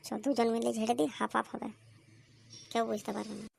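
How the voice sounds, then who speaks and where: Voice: mid-range voice, fairly even intonation, brisk, vocal fry. A performer voicing a crude, cocky young male slacker with slangy, foul-mouthed banter in a comedy cartoon. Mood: scheming. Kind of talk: amateur comic cartoon dubbing. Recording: slightly noisy amateur cartoon dub.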